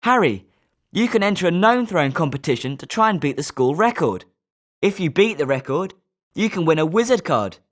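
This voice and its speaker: A man's voice: on the high side, big pitch swings, brisk. A male actor playing a smug, rivalrous schoolboy at a wizard school in scripted video game lines. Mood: informative.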